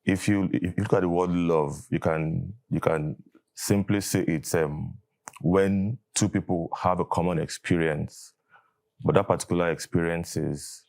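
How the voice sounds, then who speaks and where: A Nigerian man with a very deep, low voice, an Igbo speaker, appearing as an interview guest. He talks thoughtfully, pausing often and restarting his sentences as he works out his ideas. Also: steady tone, creaky, a little mellow. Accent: Nigerian English. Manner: thoughtful, hesitant, with fillers and restarts. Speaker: guest, catechist. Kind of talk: conversational interview.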